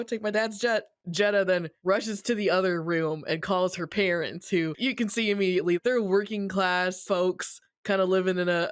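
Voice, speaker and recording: A podcast co-host speaks at a medium pitch in a very dry, close recording. The delivery is a casual story recap, told in colloquial phrasing with some swearing. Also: no room sound.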